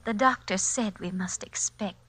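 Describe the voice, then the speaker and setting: A woman's voice: medium pitch, normal speed, fairly bright tone, breathy edge. A woman playing a worried, reproving but patient wife in a 1940s radio drama. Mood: anxious, apologetic.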